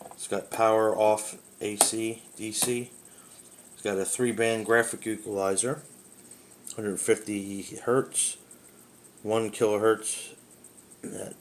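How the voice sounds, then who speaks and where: A man with a somewhat low voice talks loosely and easygoingly, thinking aloud as he handles a device at home. A handheld camera catches him close up. A steady hiss of background noise runs under his voice. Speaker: radio collector demonstrating a radio. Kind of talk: casual product demo. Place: home room with a window. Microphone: handheld camera close to the radio.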